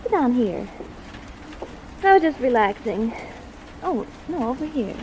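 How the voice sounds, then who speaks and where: Creaky-voiced, flirtatious 1940s femme fatale. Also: female voice, unhurried. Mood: teasing. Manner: coy, purring, teasing. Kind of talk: 1940s radio noir acting. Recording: noisy old radio recording. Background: steady rain and train rumble.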